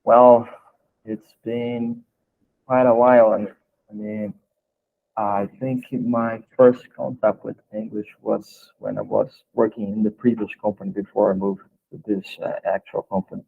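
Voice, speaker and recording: A man's voice: on the low side, fairly even intonation, unhurried, gravelly, narrow, tinny audio. A man in his early thirties with a Brazilian accent, answering questions as a guest in a casual interview. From São Paulo state, Brazil. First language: Portuguese. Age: early 30s.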